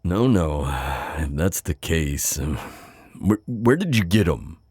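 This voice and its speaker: A man playing a suave, skeptical demon buyer in an ASMR audio roleplay, with a deep voice and a sardonic, halting manner. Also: expressive, darkish tone, husky, no echo, full character voice. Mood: skeptical, probing. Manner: flirty, sardonic, hesitant pauses and drawn-out words.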